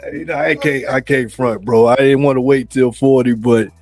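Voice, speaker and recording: A man of about forty hosts a loose, slangy group conversation. His medium-pitched voice is animated and informal. The sound is very dry, with faint music underneath. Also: moderate pace, dry recording. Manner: animated, slangy talk with 'bro' and 'brother'. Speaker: show host. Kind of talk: casual group chat.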